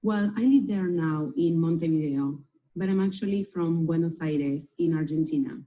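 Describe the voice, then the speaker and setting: A woman's voice: sits low, normal speed, mellow, slight background noise. A woman reading parts in scripted textbook dialogues in a plain delivery. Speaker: textbook audio voice actor.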